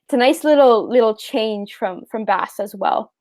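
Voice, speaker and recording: A woman's voice: on the high side, normal speed, a little mellow. A young Canadian woman hosting a fishing livestream, chatting casually and answering viewer questions, with lots of fillers and easy laughs. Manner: chatty, rambling, laughs easily, many fillers. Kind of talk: casual live chat reading viewer questions.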